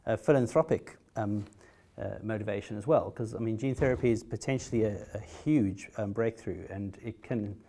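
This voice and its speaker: A man's voice: lowish voice, normal speed, mellow, a little hiss or hum. A middle-aged South African man with a South African accent, giving a hesitant conference talk as a patient, full of fillers and restarts, with a wry humor. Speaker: patient speaker.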